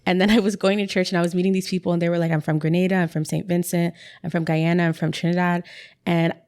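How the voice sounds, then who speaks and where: Chatty young woman, low voice. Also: little pitch movement, fast talker, a little mellow, smooth. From Canada. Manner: chatty, many "like" fillers and repeats. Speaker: interview guest, writer. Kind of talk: casual conversational interview.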